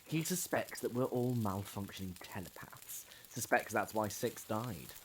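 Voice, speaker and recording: A man playing an earnest scout who reports gravely in a fan audio drama. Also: fairly low pitch, animated, unhurried, slight background noise, rough recording. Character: earnest male scout reporting what he overheard.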